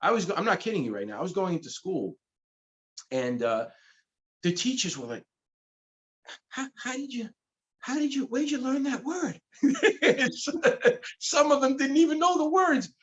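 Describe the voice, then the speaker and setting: A man's voice: very high voice, very animated, unhurried, pauses often, dull audio. A middle-aged man from the Bronx whose first language is Spanish, telling stories in a casual interview with laughs as he goes. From the Bronx, New York. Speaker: comic book publisher, guest.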